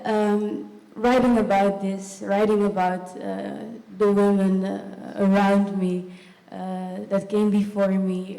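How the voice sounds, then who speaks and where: A young woman in her twenties from Rwanda, speaking from the audience at a live festival panel, halting and full of fillers and short laughs. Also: mid-range voice, dark tone. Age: 20s. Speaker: audience member asking a question. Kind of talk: hesitant spoken remarks at a live festival panel. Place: festival event hall. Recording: echoey hall with long reverb.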